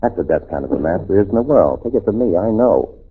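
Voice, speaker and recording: Tinny old radio comedy, a suave deep husky film star guy, kind and a bit bemused. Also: expressive, quick pace, exaggerated voice. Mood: gently fatherly. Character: suave, kindly, bemused adult male movie star. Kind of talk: 1940s radio comedy acting. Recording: tinny, narrow-band old radio recording.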